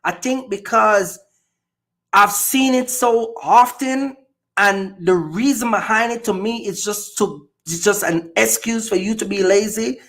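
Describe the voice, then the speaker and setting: A man's voice: high-pitched, measured pace, a bit bright. Blunt emphatic Jamaican man. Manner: emphatic, blunt, repeats himself. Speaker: channel host. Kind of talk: casual podcast conversation.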